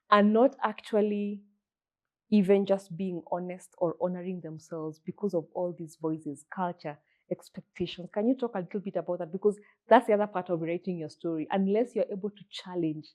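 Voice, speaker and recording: A woman's voice: on the low side, fairly even intonation, normal speed, darkish tone. A woman hosting an interview, guiding the talk and affirming her guest with frequent agreement. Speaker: host. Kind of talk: interview conversation.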